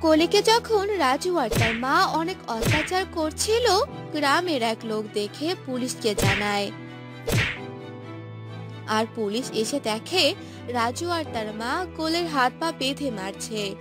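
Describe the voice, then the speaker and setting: A woman's voice: high voice, lively intonation, slow pace. A woman narrating a scripted cartoon story. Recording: music behind the voice.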